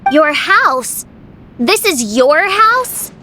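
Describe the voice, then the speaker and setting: Very high, haughty young princess. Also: female voice, sing-song, drawn-out words, bright, forceful tone, a little hiss or hum, full character voice. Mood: incredulous. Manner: pompous, archaic diction. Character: grandiose theatrical teenage princess, haughty and dramatic. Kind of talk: video game voice acting.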